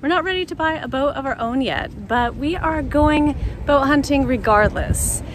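A woman narrating her vlog in a plain, measured way. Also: high voice, animated. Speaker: vlogger. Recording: noisy outdoor recording.

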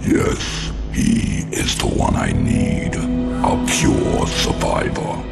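Slow, imposing supervillain overlord. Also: male voice. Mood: cold, commanding. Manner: slow, portentous. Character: imposing, cold, ancient male supervillain overlord. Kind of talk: video game cutscene acting. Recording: loud music behind.

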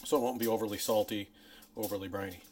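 Chatty man with a gravelly voice. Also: fast. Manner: relaxed, chatty, explains step by step; louder and higher outdoors by the smoker. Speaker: home cooking channel host. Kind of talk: casual cooking tutorial. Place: home kitchen, then outdoors at a backyard smoker. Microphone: phone camera held by the speaker.

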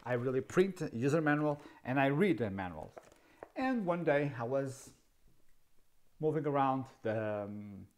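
A man in his fifties with a Portuguese accent, a native Portuguese speaker, explaining camera gear in a dry, sarcastic way, seated in a dark studio. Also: mid-range voice, big pitch swings, unhurried. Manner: wry, sarcastic, asks himself questions. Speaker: camera video host. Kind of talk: casual tech explainer.

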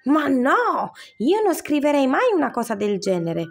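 A woman's voice: high voice, very animated, unhurried, darkish tone, soft background music, pitched above the performer's own voice, exaggerated voice. A woman voicing a fussy, curious female giraffe in a storybook, prim and squeamish.

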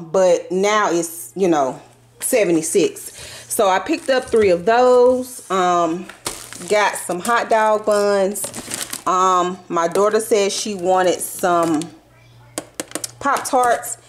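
A woman's voice: mid-range voice, slight background noise. Chatty woman showing off her groceries. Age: adult parent. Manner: relaxed, filler-heavy, addresses viewers as y'all. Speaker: vlogger showing a grocery haul. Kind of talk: casual chatty haul vlog. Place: home kitchen, indoors.

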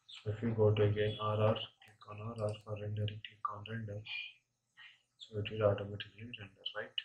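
Male software instructor, very flat intonation, step-by-step explanations, frequent check-in tags, noisy recording with clicks. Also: lowish voice, brisk, narrow, tinny audio. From India. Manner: frequently tags statements with "right?". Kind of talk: step-by-step screen tutorial. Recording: clear background noise with clicking.

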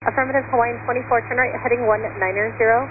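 A woman's voice: fairly high pitch, fairly even intonation, rapid-fire. A woman working as an air traffic controller, giving procedural instructions over aviation radio. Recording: phone-like radio channel, clear static hum.

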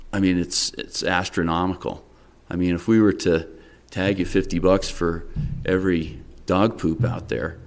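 A middle-aged man, a town council member, questioning a business owner at a public work session, measured and repeating words as he presses his point. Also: deep voice. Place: town council meeting room, indoors. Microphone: desk microphone.

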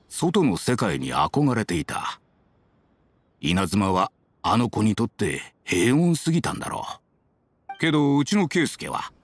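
A man voicing a gentle, reflective elderly father in an anime game, speaking in a measured, wistful way. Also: fairly low pitch, breathy edge, full character voice.

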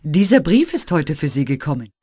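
A woman's voice: low-pitched, big pitch swings, fairly quick, dark tone, slightly rough, no echo, clean studio sound, full character voice. A woman voicing a helpful older woman who reads out a job advert, in a scripted textbook dialogue. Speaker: dialogue voice in language course.

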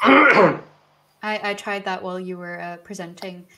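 A woman's voice: on the low side, measured pace, heavy background noise. A young woman hosting an online tasting over a video call, reading out viewer questions with a few fillers. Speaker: host.

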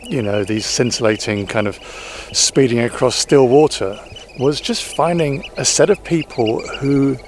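A man in his forties talking casually to camera outdoors at a boatyard, relaxed and reflective, with frequent fillers and restarts. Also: mid-range voice, a little slow, few pauses, a little hiss or hum. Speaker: sailing vlogger. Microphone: camera-mounted mic, handheld selfie framing.

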